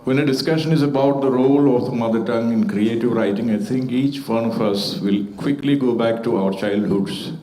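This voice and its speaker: A man's voice: lowish voice, dark tone. Man giving a formal speech. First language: Malayalam. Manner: measured and formal, with occasional hesitations. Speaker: festival panelist. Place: auditorium at a literature festival. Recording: echoey auditorium sound.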